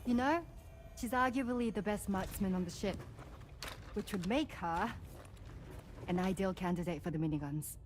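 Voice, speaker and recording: An actress playing a confident, encouraging crew member in a video game, casual and assured. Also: female voice, mid-range voice, normal speed, pauses often, faint music behind, lightly acted. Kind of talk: video game cutscene acting.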